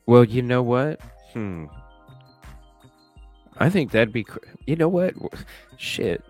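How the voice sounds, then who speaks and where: A man streaming live, chatting casually and thinking out loud with a stuffy nose, swearing now and then. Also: mid-range voice, big pitch swings, takes it slowly, faint music behind. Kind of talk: casual chat reacting to a video. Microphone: desk microphone with webcam.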